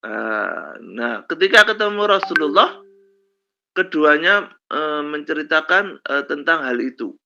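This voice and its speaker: Slow-talking man with a cold. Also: a little high, lively intonation, pushed and bright. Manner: slow storytelling with many fillers and "kan begitu ya". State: has a cold, coughs. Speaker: lecturer. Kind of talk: university lecture on Islamic law. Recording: dull lo-fi recording.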